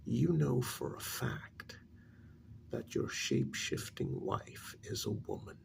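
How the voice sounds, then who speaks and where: Man playing patient middle-aged male therapist, ASMR role-play, very creaky near-whisper, halting and placating, faint background noise. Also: huge pitch swings, heavily acted. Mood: placating, firm. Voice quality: soft, low, gravelly, often near whisper. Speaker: couples therapist character.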